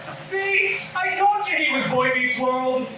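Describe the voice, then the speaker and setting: Man doing high-voiced, starstruck cop, echoey room. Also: takes it slowly. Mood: eager. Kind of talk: table read of a comedy pilot. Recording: echoey room, distant mic, noisy.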